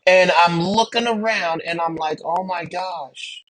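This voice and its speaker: A man's voice: sits high, measured pace, crowd noise, poor audio. A middle-aged American man telling anecdotes from his own life, speaking emphatically with repeated phrases and rhetorical questions. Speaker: military veteran and pilot sharing experiences. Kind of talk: anecdotal storytelling about personal experience.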